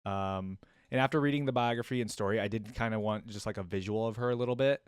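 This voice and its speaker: A man's voice: lowish voice, quick pace, smooth, no echo, studio quality. A man giving casual reaction commentary, musing aloud in slangy, impressed asides as the host of the video.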